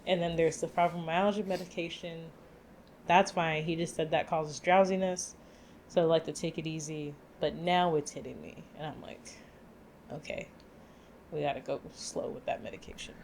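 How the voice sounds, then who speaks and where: A woman's voice: deep voice, fairly even intonation, normal speed, a little mellow, slight background noise. A young adult woman co-hosting a podcast in casual chat, talking loosely with plenty of "like" fillers. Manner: chatty, many "like" fillers. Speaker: podcast co-host.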